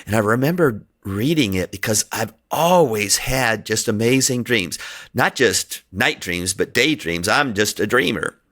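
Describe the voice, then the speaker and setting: Chatty Hungarian-born man, lowish voice. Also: lively intonation, unhurried, fairly bright tone. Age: middle-aged. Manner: rambling, chatty, laughs often. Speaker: podcast host. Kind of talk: casual solo podcast monologue.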